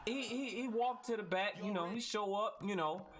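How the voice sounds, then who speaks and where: A man's voice: sits high, normal speed. A man improvising a mock-epic comic story in casual slang, repeating himself and tagging phrases with "right?". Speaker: storyteller narrating over gameplay video. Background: basketball video game sounds and chatter.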